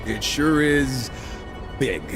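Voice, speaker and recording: A man's voice: medium pitch, extremely slow, gravelly, over music. An actor playing a wisecracking, irreverent gunslinger horseman in a video game, wry, sarcastic and casual. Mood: wry, teasing. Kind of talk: video game cutscene acting.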